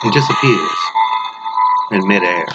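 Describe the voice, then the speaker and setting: A man reading a dark horror story aloud as its author, in a theatrical, dramatic delivery. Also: deep voice, animated, measured pace, loud music behind the voice. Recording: noisy, dull lo-fi home recording.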